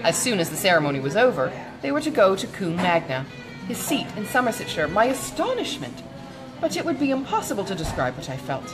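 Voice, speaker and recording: A woman's voice: medium pitch, sing-song, close to an everyday voice, rough recording. Audiobook part: woman playing honourable, grave colonel, hesitant and formal delivery, varied intonation, music underneath. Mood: grave, earnest. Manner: halting, earnest, formal. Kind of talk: audiobook character dialogue.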